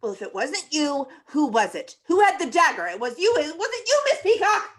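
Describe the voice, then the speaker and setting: A woman playing a blustering, accusatory colonel in a comedy table read, forceful and interrogating. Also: sits high, very animated, normal speed. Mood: accusing. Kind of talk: live table read of comedy film script.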